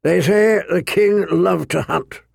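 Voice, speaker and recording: A man narrating an audiobook, voicing a gruff, grumbling, weary old lord commander with a growling, rumbling voice. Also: slow pace, no echo, clean studio sound, heavily acted. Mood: bitter, wistful. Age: older.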